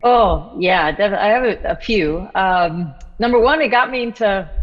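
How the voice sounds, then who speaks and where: A woman's voice: mid-range voice, unhurried. A young adult woman, a drummer and composer, chatting on a video call from home, laughing often and quoting her own thoughts. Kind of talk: casual chat on a video call. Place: room at home. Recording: echoey, dull video-call audio.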